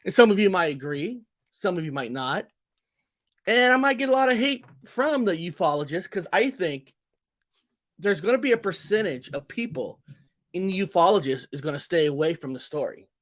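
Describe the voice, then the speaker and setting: A man hosting a show on his own, rambling and repeating words as he talks straight to viewers, his voice going hoarse as he gets sick. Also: high-pitched, expressive, moderate pace, fairly bright tone. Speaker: show host. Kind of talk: casual solo podcast monologue.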